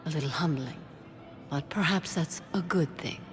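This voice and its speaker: A woman's voice: low voice, moderate pace, lightly acted. An actress playing a stoic alien warrior-monk woman in a video game, serene, grave and formal. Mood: solemn, wistful. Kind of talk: cinematic video game acting. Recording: long hall reverb.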